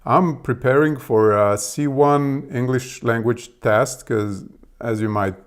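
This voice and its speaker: A man's voice: mid-range voice, slow pace, clean tone. A man with a Serbian accent whose first language is Serbian, talking casually and hesitantly with many fillers. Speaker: video host testing an AI assistant. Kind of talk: casual chat.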